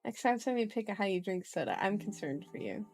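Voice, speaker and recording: A woman streamer reads aloud in an ASMR style with faint music behind her. Her voice sits at an average pitch. She is playful and informal, slipping between narration and casual chat and correcting herself as she goes. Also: sing-song, fast, creaky, darkish tone. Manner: playful, informal asides, self-correcting while reading. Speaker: streamer, reader. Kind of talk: ASMR book reading with casual chat to viewers. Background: background music.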